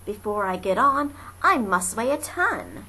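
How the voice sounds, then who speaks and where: A woman voicing a huge, gentle and loyal male elephant from a storybook, speaking earnestly and emphatically. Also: medium pitch, very animated, unhurried, exaggerated voice. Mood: pondering. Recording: noisy amateur home recording.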